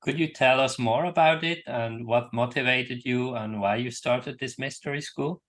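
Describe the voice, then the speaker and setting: A man hosts an online interview in an ordinary mid-pitched voice. He sums up what his guest has said and then asks his next question at a measured pace, with small hesitations along the way. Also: a bit bright. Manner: measured, summarizing questions with "uh" pauses. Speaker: interview host.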